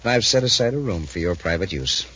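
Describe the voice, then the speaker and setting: Smug, forceful radio villain mastermind. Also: male voice, sits low, expressive, a little fast. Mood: suave, patronizing. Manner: suave, self-assured, grandiose. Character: smug, commanding male criminal mastermind villain. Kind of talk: 1950s radio serial acting. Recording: muffled, faintly noisy 1950s radio recording.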